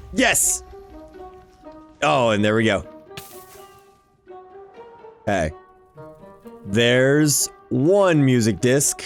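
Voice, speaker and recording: A man giving casual, exclamation-filled gameplay commentary, often talking to himself as he plays. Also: mid-range voice. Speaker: gaming YouTuber hosting a Minecraft series.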